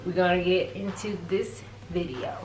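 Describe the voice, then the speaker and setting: A woman's voice: on the low side, normal speed, a little mellow, roomy sound, a little hiss or hum, rough recording. A woman, co-hosting a casual story time vlog in a chatty, teasing way. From Texas, USA. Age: 20s. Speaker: vlog co-host.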